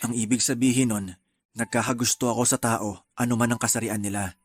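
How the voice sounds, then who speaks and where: A man's voice: medium pitch, steady tone, fairly quick, breathy edge, natural voice. A man acting an earnest young boyfriend in a Tagalog radio drama, speaking frankly. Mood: calm, explaining.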